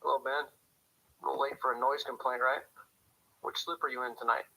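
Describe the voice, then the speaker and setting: An actor playing a dutiful small-town night-shift police officer in a radio drama, dry, measured and understated over a radio. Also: male voice, medium pitch, little pitch movement, gravelly, pushed and bright, husky, full character voice, poor audio. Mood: dry, patient. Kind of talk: radio drama, scripted log narration and calls.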